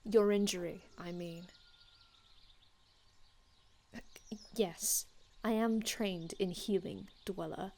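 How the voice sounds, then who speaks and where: An aloof old-world male vampire healer, played by a woman, speaks slowly in an ASMR roleplay. The delivery is hushed and haughty, with formal, archaic wording and every phrase carefully measured. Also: medium pitch, steady tone, slight background noise, natural voice. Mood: calm, careful. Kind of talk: ASMR roleplay, scripted in-character monologue.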